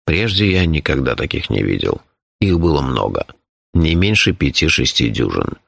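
A man voicing an imperturbable, phlegmatic male companion in an audiobook, speaking in a calm, measured, deadpan and formal way. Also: extremely low pitch, gravelly, breathy edge, no room sound, clean studio sound, voice pushed down, exaggerated voice. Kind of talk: audiobook character voice.

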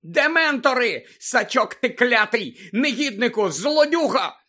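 Guy doing a shrill old lady for an audiobook story, high voice, cranky, scolds like mad. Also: moderate pace, a bit bright. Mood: furious screeching. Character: cranky, scolding old woman, frantic and bossy.

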